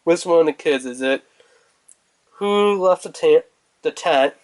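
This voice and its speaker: A man's voice: a little high, unhurried. A man giving a casual product review in an informal, rambling way, thinking aloud as he goes.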